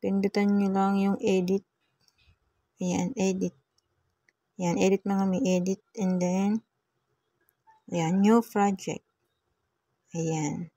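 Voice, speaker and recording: Filipino woman, even-toned and softly mellow. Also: medium pitch, slow pace, long pauses, no echo. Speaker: vlogger giving a phone editing tutorial. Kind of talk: casual step-by-step tutorial.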